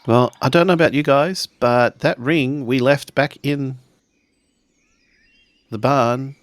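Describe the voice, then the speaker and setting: A man in casual, joking table talk as a player in a tabletop game podcast, halting and stammering at times. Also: mid-range voice, dry recording.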